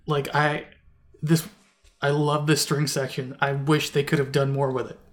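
Rambling man with a slightly high voice. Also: level tone, normal speed. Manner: rambling, many restarts and "like" fillers. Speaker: podcast co-host. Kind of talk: casual album review chat.

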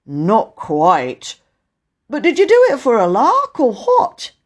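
A woman's voice: fairly high pitch, huge pitch swings, takes it slowly, voice pushed up, full character voice. A woman voicing an adult male station master in a storybook, stern and scolding in an old-fashioned way but kindly underneath. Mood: firm, kindly. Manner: scolding, old-fashioned British turns of phrase. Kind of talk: storybook character voice.

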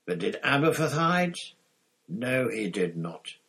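A man reading aloud and voicing an elderly male headmaster wizard who speaks in a measured, gently dry way. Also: medium pitch, very animated, creaky, breathy edge, voice pushed up, heavily acted. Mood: wry, kindly firm.

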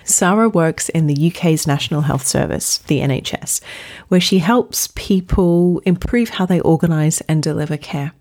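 A woman with a slightly low voice hosts an interview podcast. She speaks in an enthusiastic, conversational manner. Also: moderate pace, few pauses, darkish tone. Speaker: podcast host.

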